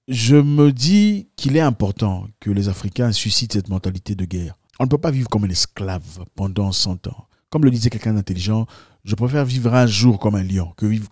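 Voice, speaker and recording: A man's voice: on the low side, expressive, moderate pace, a little mellow, husky, dry recording, clean studio sound. A man hosting an opinionated political discussion, speaking emphatically and repeating phrases for effect.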